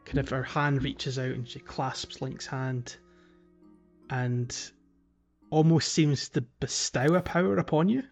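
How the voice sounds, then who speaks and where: A man co-hosting a casual gaming podcast, explaining things in a halting, conversational way. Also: medium pitch, steady tone, muffled recording, soft background music. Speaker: podcast co-host. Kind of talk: casual video game podcast discussion.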